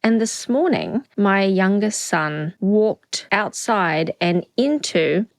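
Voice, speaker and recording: Unhurried, lively middle-aged woman. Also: medium pitch, creaky, dry recording. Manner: unhurried, storytelling. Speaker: podcast co-host. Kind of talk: casual chat. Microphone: podcast microphone.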